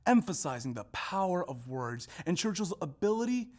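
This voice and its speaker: A man performing a scripted narration in a readers theater style, with emphatic, deliberate phrasing. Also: sits high, sing-song. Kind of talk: scripted narration, staged recitation.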